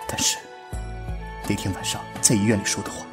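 A man's voice: fairly low pitch, flat delivery, brisk, over music, close to an everyday voice. A man playing an earnest, resolute young soldier with heartfelt, solemn delivery in a radio drama. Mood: solemn, sorrowful. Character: earnest young male soldier, secret communist, loving and resolute. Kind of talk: Chinese fan radio drama acting.

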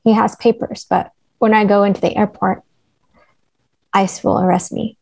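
Woman reading a creaky, anxious young mother. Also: mid-range voice, little pitch movement, normal speed, darkish tone, poor audio. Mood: grave, worried. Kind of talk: online table read of a screenplay. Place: indoor room, video call.

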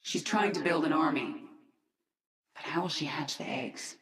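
A woman's voice: deep voice, normal speed, roomy sound, background noise, natural voice. An actress playing a determined, commanding queen of an alien swarm in a video game, speaking with controlled resolve. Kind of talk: video game cutscene acting.

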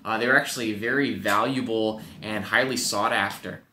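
Bright-voiced young pastor teaching kids. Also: male voice, on the low side, normal speed, echoey room, slight background noise. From Wisconsin, USA. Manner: animated storytelling with playful acted-out voices. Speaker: youth pastor. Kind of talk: children's Bible lesson. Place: home office, indoors. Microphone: webcam at desk.

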